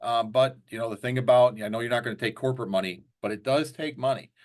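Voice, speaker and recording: A man's voice: medium pitch, fast. A middle-aged man hosting a casual political interview, chatty and fond of joking hypothetical questions. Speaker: interview host.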